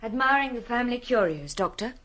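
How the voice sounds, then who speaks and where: A woman's voice: fairly high pitch, animated, brisk, a bit bright. An actress playing a breezy young society woman in a radio drama, talking in a playful, pert manner. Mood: teasing.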